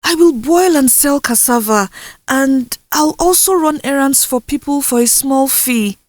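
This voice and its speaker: A woman's voice: sits high, measured pace, no echo, voice pushed up. A woman storyteller voicing a determined, emotional teenage village girl who pleads and defies in a folktale. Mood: hopeful, determined.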